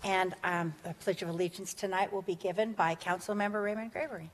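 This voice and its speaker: A woman's voice: lowish voice, fairly even intonation, a little fast. A woman acting as mayor pro tem, chairing a city council meeting in a friendly, chatty, plain-spoken way. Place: council chamber. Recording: long reverb in a council chamber.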